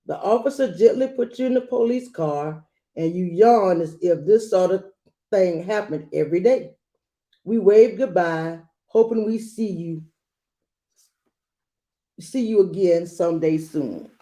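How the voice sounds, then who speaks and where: A woman reading a children's picture book aloud, adding gentle explanatory asides for young listeners. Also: on the low side, unhurried. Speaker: read-aloud storyteller.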